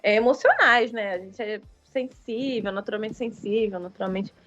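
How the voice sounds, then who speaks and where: A woman's voice: medium pitch, expressive, moderate pace, a bit bright, dull audio, soft background music. A woman in her thirties from Rio de Janeiro with a Carioca accent, talking casually as an interview guest and often correcting herself. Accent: Carioca Brazilian Portuguese. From Rio de Janeiro, Brazil. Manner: rambling, many fillers and self-corrections. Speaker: guest writer.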